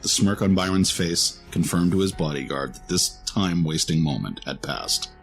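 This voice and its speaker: A man's voice: sits low, expressive, normal speed, creaky, soft background music. A man narrating a scripted fantasy story in a dramatic storytelling style.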